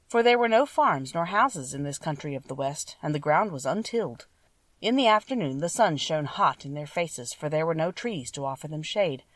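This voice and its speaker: Female storybook narrator, slightly low voice, very dry room, sitting a little back from the mic. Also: dry recording, recorded from a distance. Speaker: audiobook narrator. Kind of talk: scripted children's story narration.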